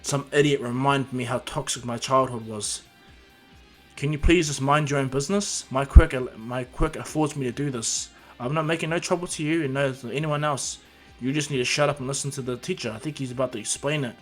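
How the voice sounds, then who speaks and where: A man's voice: medium pitch, fairly even intonation, brisk, soft background music, close to an everyday voice. A man voicing a brash teenage boy with superpowers, blunt and dismissive, in an anime-style story. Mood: curt, fed up.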